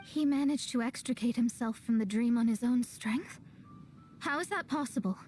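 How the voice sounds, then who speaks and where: A woman's voice: fairly high pitch, moderate pace, darkish tone, faint music behind, lightly acted. A woman voicing a proud, brainy young astrologer in a video game, speaking in an articulate, self-assured and theatrical way. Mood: probing. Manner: confident, articulate, expository.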